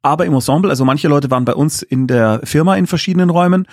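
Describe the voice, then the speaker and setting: A man's voice: on the high side, quick pace, a little mellow, no echo. Enthusiastic man chatting in a dry recording. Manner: enthusiastic, chatty, detailed explaining. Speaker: guest, audio drama director. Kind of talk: casual conversational interview.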